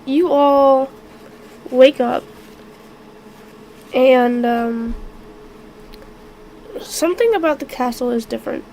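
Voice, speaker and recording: High-voiced, sick woman game master, slow and halting. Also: dark tone, slight background noise, poor audio. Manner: halting, throat-clearing. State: sick and losing her voice. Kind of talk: narrating a tabletop game live on stream.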